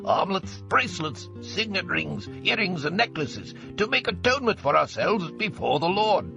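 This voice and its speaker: An actor playing a dutiful army officer making a formal, deferential report in a dramatized audio Bible. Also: male voice, high voice, sing-song, normal speed, creaky, dull audio, music in the background, full character voice. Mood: proud, deferential.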